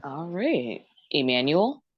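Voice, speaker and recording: A low-voiced woman hosts a group dating show, moving briskly from guest to guest. Her voice sounds close and dry, with slightly dull sound. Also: sing-song, breathy edge, dry recording. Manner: brisk, repeats short approvals. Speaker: host.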